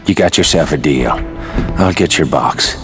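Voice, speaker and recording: An actor playing a cocky, hard-bitten Irish saboteur hero in a video game cutscene, wry and flippant. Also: male voice, very deep voice, normal speed. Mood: guarded, dry. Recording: music behind the dialogue.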